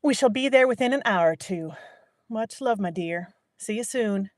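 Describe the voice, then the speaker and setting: A woman voicing a lively, stern, sarcastic woman talking on the phone, loud and scolding. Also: medium pitch, moderate pace, no room sound, studio quality, voice pushed up, lightly acted. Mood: brisk.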